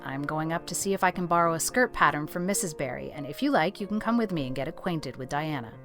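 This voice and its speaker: A stern older guardian acted by a woman in an audiobook drama, with a fairly low voice. She is brisk, practical and scolding, no-nonsense but caring, with soft music behind her. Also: expressive. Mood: brusque reassurance. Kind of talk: audiobook drama character acting.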